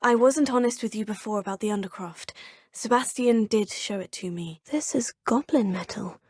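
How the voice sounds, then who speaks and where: A woman's voice: mid-range voice, normal speed, darkish tone, breathy edge, no room sound, clean studio sound, close to an everyday voice. An actress voicing a polite young female student witch in her fifth year, speaking formally to her teachers in game voice lines. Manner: polite and formal, addressing teachers as sir and professor. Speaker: player character. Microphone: close studio mic.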